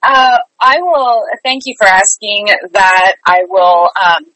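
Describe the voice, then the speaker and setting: A woman's voice: medium pitch, takes it slowly, fairly bright tone, no room sound, rough recording. A woman chatting casually and upbeat as a guest in an interview about her work. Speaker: theater company artistic director, guest.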